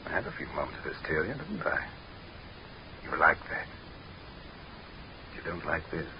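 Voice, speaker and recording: An actor in a 1940s radio drama playing a proud, cold dictator facing death, speaking with deliberate, oratorical contempt. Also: male voice, a bass voice, very animated, exaggerated voice. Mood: scornful, calm. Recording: muffled, noisy 1940s radio recording.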